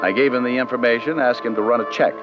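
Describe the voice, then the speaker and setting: An American man playing a wry, hard-boiled private detective in vintage radio drama style, with flippant deadpan delivery. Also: mid-range voice, quick pace, natural voice. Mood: matter-of-fact narration. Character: wisecracking, hard-boiled male private detective narrating his case. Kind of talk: 1940s-50s radio detective acting and narration. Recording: dull vintage radio recording with music.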